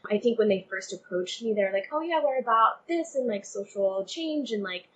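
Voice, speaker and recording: A young woman gives an interview in an earnest, casual way, with plenty of conversational filler words. Her pitch is average for a woman. The recording carries noticeable background noise and ambience. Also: lively intonation, fairly quick, dull audio. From Texas, United States. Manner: earnest, with frequent "like" and "you know". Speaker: interview guest, playwright.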